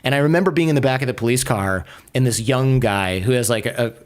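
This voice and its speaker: A young adult man telling a travel story in a casual chat, animated and acting out the people he quotes. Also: fast, smooth. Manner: animated, acts out quoted voices with raised pitch.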